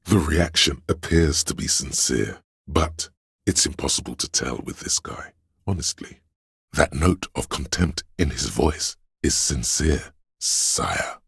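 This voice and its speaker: Sly courtly advisor, theatrical archaic flourishes, bottomless bass, raspy husk, video game character. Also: male voice, expressive, slow, a bit bright, no echo, studio quality, heavily acted. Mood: suspicious, sly. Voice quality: gravelly, husky. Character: theatrical, scheming inner voice of drama, a courtly servant. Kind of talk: video game voice lines, addressing a liege.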